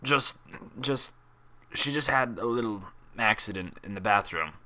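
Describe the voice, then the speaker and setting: A man's voice: lowish voice, expressive, a little slow, pushed and bright, heavily acted. Man voicing a whiny, grumbling stallion orderly. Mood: nervous, stammering. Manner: muttering complaints, stammering when cornered.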